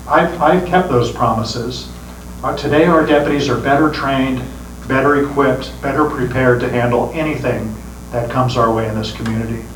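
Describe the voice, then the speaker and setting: Brief: man, sheriff pitching re-election to a crowd, earnest, restarts phrases, heard from across an echoey room with background noise. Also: a bit bright, recorded from a distance. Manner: earnest, repeats words when starting phrases. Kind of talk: campaign speech to a meeting. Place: meeting room with an audience.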